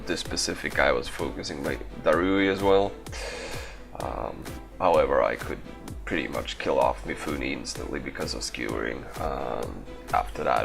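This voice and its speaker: A man's voice: a bass voice, vocal fry. A man giving casual, offhand commentary over gameplay as a gaming video creator.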